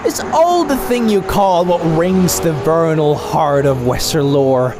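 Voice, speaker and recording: A man voicing an excitable, scatterbrained young male cat with a florid, rambling, theatrical delivery in a comic dub. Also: a little high, unhurried. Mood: grandiose, poetic. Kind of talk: animated comic dub acting.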